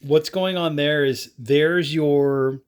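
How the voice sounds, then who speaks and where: A man's voice: on the high side, fairly even intonation, slow pace, clear, resonant tone. A man hosting an informal product review, rambling casually and talking through what his hands are doing. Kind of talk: casual unscripted product demonstration.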